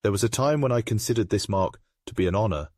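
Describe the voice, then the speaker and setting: Man playing a low-voiced, solemn aristocratic wizard father. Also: a little mellow, dry recording, studio quality, close to an everyday voice. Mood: solemn, remorseful. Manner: formal, measured, solemn. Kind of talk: comic dub voice acting.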